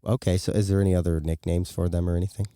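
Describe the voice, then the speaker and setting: A man's voice: deep voice, level tone, very fast, mellow, smooth, no room sound, studio quality. A man co-hosting a podcast in casual chat, with a loose, rambling, joking way of talking. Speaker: podcast co-host.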